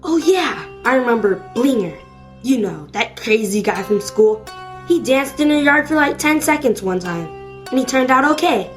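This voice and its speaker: A woman playing an excitable, squeamish young kid with an expressive manner in an amateur audio drama. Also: fairly high pitch, moderate pace, faint music behind, natural voice. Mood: animated.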